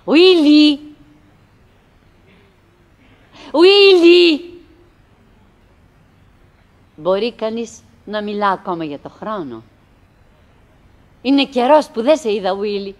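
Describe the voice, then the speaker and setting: An actress playing a wistful, lonely, chattering wife in a theatrical radio play, musing in fragments and repeating herself. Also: female voice, a little high, sing-song. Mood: calling out. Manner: fragmented, repetitive musing with pauses and self-address.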